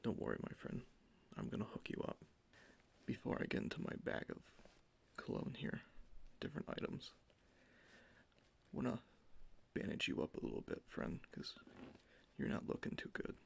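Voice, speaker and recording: A man doing an ASMR roleplay in a soft, mostly whispered, gravelly voice, close to the mic. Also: medium pitch, wildly swooping pitch, normal speed, long pauses. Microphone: close sensitive ASMR microphone.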